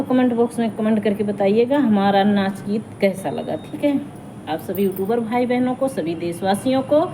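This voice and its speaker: A middle-aged woman speaks straight to her followers in a rapid, chatty rush. She sounds like a folk singer winding down to a casual word with her fans. She addresses them warmly and with affection. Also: mid-range voice, noisy background. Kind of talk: casual talk to viewers.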